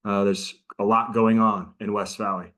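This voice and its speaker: A middle-aged man with a fairly low voice gives an online training talk. He speaks in a casual, chatty way and keeps turning to his listeners. The sound comes through a video call microphone. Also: little pitch movement, normal speed, fairly bright tone. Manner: casual, chatty, interactive with audience. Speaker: prosecutor and trainer.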